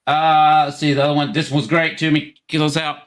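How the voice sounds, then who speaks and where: A man hosting a live call-in stream, hoarse from losing his voice, who reacts to clips in an excitable, mocking way and swears freely. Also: fairly high pitch, brisk. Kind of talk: casual live stream commentary.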